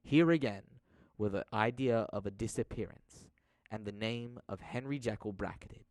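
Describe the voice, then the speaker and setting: Low, dry male storyteller. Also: darkish tone, dry recording. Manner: measured, dramatic storytelling. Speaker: narrator. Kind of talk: scripted audio drama narration.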